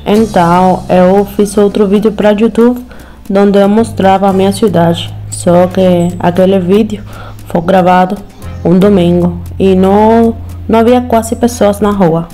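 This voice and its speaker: A woman's voice: medium pitch, steady tone, a little slow, mellow, soft background music. A young Cuban woman vlogging casually to a phone camera, speaking careful learner's Portuguese with a Spanish accent. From Cuba. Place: small room at home, indoors.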